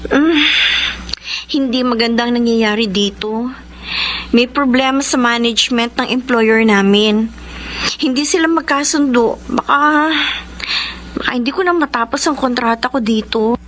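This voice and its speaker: Radio drama role: tender-hearted, lovelorn young woman, fairly high, hesitant, noisy background. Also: measured pace, pauses often, a bit bright. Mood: concerned. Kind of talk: Filipino radio drama acting.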